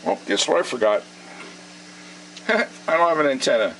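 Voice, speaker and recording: A man giving a casual, unhurried repair demonstration, thinking aloud in a folksy way, recorded on a camera microphone in his shop. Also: mid-range voice. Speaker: radio repair vlogger. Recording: steady noisy camera sound in workshop.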